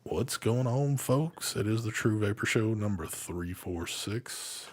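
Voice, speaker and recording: A man hosts a live vape stream in an easygoing, casual way. His voice sits very low, and he swears freely and chuckles between lines. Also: lively intonation, takes it slowly. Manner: laid-back, swears casually, chuckles often. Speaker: live vape show host.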